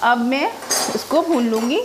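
A woman's voice: a little high, a little slow, background noise. A woman narrating her own cooking video step by step, chatty and homely, explaining each step as she cooks in her home kitchen. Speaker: cooking vlogger.

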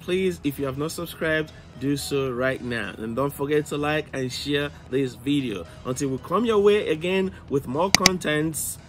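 A man's voice: a little high, a little slow, hardly any pauses. A young man hosting an electronics channel, talking through a project demonstration in voice-over. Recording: music behind the voice. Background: music, radio playing.

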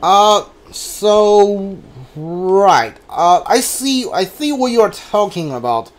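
A man with a high voice narrates his gameplay live in a casual style. He speaks very slowly and haltingly, working out his choices aloud as he goes. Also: animated. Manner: hesitant, halting, thinking aloud. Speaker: game streamer.

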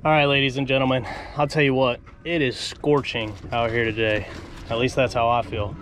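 A man in his thirties hosting a casual fishing vlog from an open boat, coaching his friends and shouting encouragement, caught on an action camera. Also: medium pitch, little pitch movement, a little fast. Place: open boat on the bay outdoors. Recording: outdoor wind and water noise. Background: boat and water noise.

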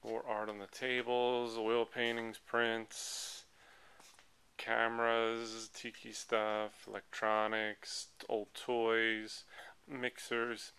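A man's voice: on the low side, completely flat delivery, drawn-out words, sharp tone. An American man giving a casual, off-the-cuff walk-through as an auction presenter, listing items in a rambling way as he walks, recorded on a handheld camera. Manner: rambling item-by-item listing while walking. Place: large indoor auction hall full of tables. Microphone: handheld camera, held by speaker behind it. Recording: handheld camera audio with clear background noise.

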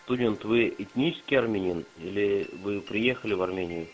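A man's voice: on the low side, moderate pace, soft background music, rough recording. A man on a voice chat politely asking short questions. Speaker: chat participant. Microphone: walkie-talkie voice chat app.

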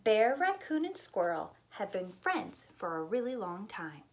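Slow, animated woman reading a storybook. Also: medium pitch. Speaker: preschool teacher reading picture books. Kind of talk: expressive storytime read-aloud. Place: small indoor room with bookshelf.

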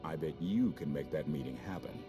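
An actor playing a confident, easygoing ex-officer rogue in a video game, casual and folksy. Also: male voice, rumbling low, moderate pace, music in the background. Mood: persuasive. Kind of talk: video game cutscene acting.

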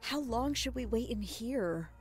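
A woman plays a nervous, careful accomplice in an audio drama. Her voice is high and very animated, with music clearly playing behind it. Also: measured pace. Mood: anxious. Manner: worried, cautioning. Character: anxious, cautious female accomplice.

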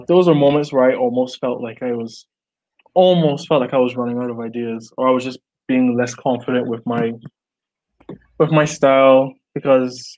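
A man's voice: mid-range voice, darkish tone, low-quality recording. A young man from Barbados, an artist, talking over a video call in a small room at home, earnest and reflective, often restarting his sentences with "like". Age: young adult. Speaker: artist guest. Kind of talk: casual video call interview. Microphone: earbuds on a video call.

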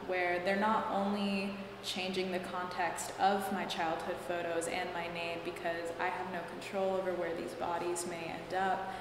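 A young woman artist speaking in a thoughtful, academic, unhurried way in an interview, recorded in a gallery. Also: on the low side. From United States. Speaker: artist interviewed about her show. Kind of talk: reflective interview answers. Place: gallery with hard walls. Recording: echoey gallery room, noisy.

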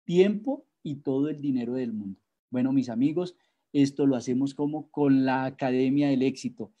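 A man's voice: medium pitch, moderate pace, warm tone, dull audio. A man giving an online sales presentation over a video call, persuasive and full of rhetorical questions.

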